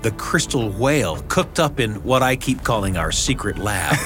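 A man's voice: mid-range voice, expressive, normal speed, over music. A man hosting a show, introducing guests and segments in a casual, slightly hesitant conversational way. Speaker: show host. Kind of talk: casual studio interview and introduction.

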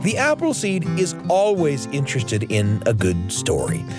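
A man hosting a radio storytelling show, narrating from a script in an animated way with dramatic emphasis. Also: a little high, unhurried, over music.

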